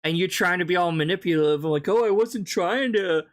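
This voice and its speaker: A man's voice: sits high, a little fast. A man co-hosting a casual chat, blunt and mocking in how he talks. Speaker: co-host.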